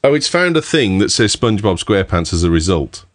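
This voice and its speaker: A man's voice: fairly low pitch, sing-song, brisk. A man with a Birmingham accent, co-hosting a casual tech podcast and reading news out in a chatty way. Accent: Birmingham English. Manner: chatty, reads news aloud. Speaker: podcast co-host.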